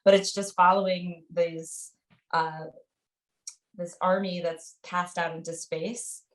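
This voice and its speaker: A woman's voice: fairly low pitch, little pitch movement, slow, recorded from a distance, poor audio. A woman hosting a podcast in casual literary discussion, speaking in an informal, effusive way. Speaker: podcast host interviewing a guest.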